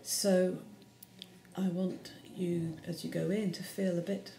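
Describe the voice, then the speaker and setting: A woman in her forties, an artist, describing her short films haltingly, with many pauses and fillers. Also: lowish voice, flat delivery, vocal fry, dark tone, a little hiss or hum. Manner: hesitant, many ums. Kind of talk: casual explanation of her films. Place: room with bare block walls. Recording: echoey room.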